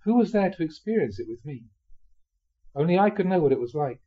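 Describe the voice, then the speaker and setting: A man reading a spiritual memoir aloud expressively, in a measured way with dramatic pauses. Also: on the high side, animated, dull audio. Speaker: reader of Buddhist teachings.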